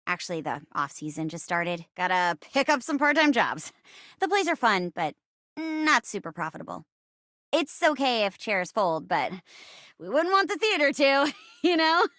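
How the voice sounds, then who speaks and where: A high-voiced young actress chair come to life for a video game. She is earnest and casual, with a very animated, swooping delivery. The sound is close and dry. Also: no room sound. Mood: cheerful, friendly. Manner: animated, earnest, casual, halting when uncomfortable. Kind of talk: video game character dialogue.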